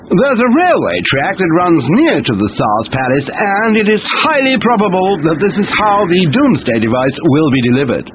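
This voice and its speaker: A man's voice: high-pitched, sing-song, moderate pace. A man playing a formal military commander giving a scripted video game mission briefing over a radio. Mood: formal, instructing. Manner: formal, instructing. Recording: tinny, noisy radio transmission.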